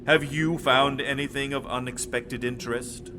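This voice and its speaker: A man playing a centuries-old, morbid Dark Lord in an audio drama, speaking formally and savoring his words. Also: mid-range voice, steady tone, moderate pace, slight background noise, lightly acted. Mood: haughty curiosity.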